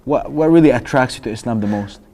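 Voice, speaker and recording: A man's voice: mid-range voice, quick pace, mellow. A man hosting an interview, asking questions with frequent hesitations and restarts. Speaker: interview host. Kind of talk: casual interview.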